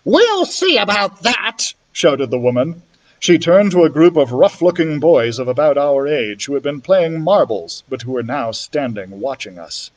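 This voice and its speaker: A man's voice: medium pitch, moderate pace, darkish tone, low-quality recording. A man reading a children's story aloud expressively, as a library storyteller would.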